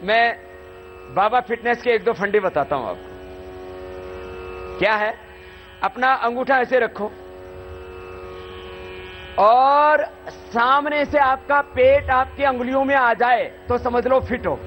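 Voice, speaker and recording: High-pitched man teaching yoga, lively. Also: slow pace, pauses often, dull audio, faint music behind. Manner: lively, demonstrative, folksy humor. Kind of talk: live yoga instruction to soldiers. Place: outdoor army yoga camp.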